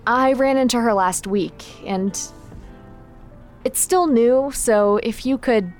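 A confident young woman in a fiction podcast, frank and wry with a supportive streak. Her voice sits fairly high and she talks casually over faint music. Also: takes it slowly. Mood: wry, slightly embarrassed. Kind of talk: naturalistic audio drama acting.

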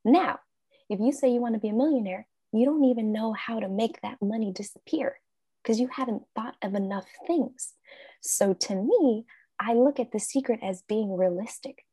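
Husky, mellow-voiced woman. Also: on the high side, moderate pace, dry recording. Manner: animated, acts out her own quoted thoughts, frequent laughs. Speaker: life coach, interview guest. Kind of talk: casual interview talk.

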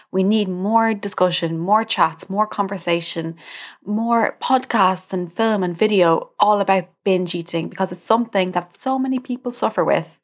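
A young adult woman with an Irish accent hosting a podcast, speaking informally and directly to the listener in a conversational monologue. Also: mid-range voice. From Ireland. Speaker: podcast host, dietitian.

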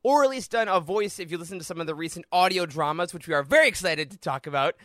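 A man's voice: high voice, expressive, fast, a bit bright, no room sound, clean studio sound. A man hosting a podcast in casual, enthusiastic interview chat. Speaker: podcast host. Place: remote video call.

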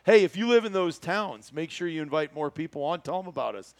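Middle-aged American man, higher-pitched podcast host. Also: animated, a little fast, no room sound. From Iowa, United States. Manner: chatty, joking, folksy exclamations. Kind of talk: casual podcast chat.

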